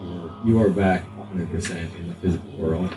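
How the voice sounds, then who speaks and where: A man's voice: low voice, brisk. A man improvising narration as game master of a tabletop role-playing game. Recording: roomy, distant mic, faint music underneath.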